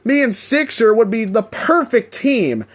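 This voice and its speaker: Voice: mid-range voice, very animated, unhurried. A performer voicing a gleeful, scheming demon villain in a theatrical, boastful and mocking storybook reading. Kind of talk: dramatic character audiobook reading.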